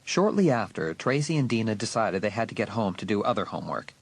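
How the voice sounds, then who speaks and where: A man's voice: fairly low pitch, big pitch swings, slightly rough. A man narrating a horror audiobook in a dramatic, suspenseful scripted style. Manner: suspenseful, deliberate emphasis.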